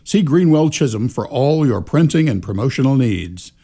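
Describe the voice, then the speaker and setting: A man's voice: medium pitch, expressive, normal speed, dark tone. A man reading a sponsor announcement for a radio show.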